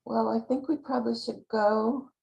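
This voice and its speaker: A woman hosting a casual video call chat, warm, encouraging and chatty with her guest. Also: on the high side, steady tone, moderate pace, creaky, rough recording. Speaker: host.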